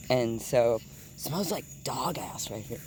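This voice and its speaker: A deep-voiced woman in her thirties telling a rambling, digressive story while walking outdoors, swearing casually and sometimes dropping to a whisper. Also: expressive, takes it slowly, darkish tone, slight background noise. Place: outdoors on grass at night with insects.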